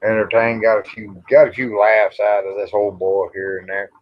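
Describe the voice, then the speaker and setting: A man rambles casually to livestream viewers in a folksy, grumbling way, pausing often. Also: lowish voice, level tone, no echo. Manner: folksy, grumbling, drawn-out with pauses. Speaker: livestream host. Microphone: small microphone near the face. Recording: dull, muffled livestream audio.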